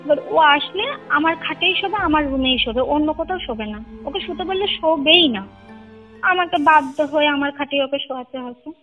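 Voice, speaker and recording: A woman's voice: sits high, moderate pace. A young woman with a Kolkata Bengali accent tells a personal story conversationally and emphatically, repeating points and checking that the listener follows. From Kolkata, India. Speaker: caller recounting a personal experience. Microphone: phone call. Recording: tinny phone line with music underneath. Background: clear music bed.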